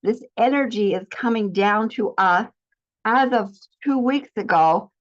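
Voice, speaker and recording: Woman, spiritual teacher, earnest, declarative, restarts often, medium pitch, muffled lo-fi sound. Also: measured pace. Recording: slightly dull lo-fi recording.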